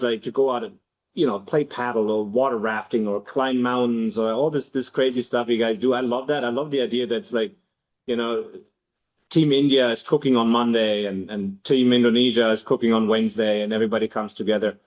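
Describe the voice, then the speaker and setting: A man's voice: medium pitch, steady tone, a little fast, darkish tone, poor audio. A middle-aged man hosting a webinar in a casual, unscripted way. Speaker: webinar host and academy head.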